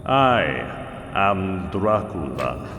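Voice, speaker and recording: A courtly vampire count in an old-style radio horror play. His voice is low and sweeps dramatically up and down, ominous and formal, over some background noise. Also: big pitch swings, fairly bright tone, full character voice. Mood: ominous, savoring. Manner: slow, deliberate, formal and ominous. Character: Count Dracula, courtly, menacing male vampire nobleman.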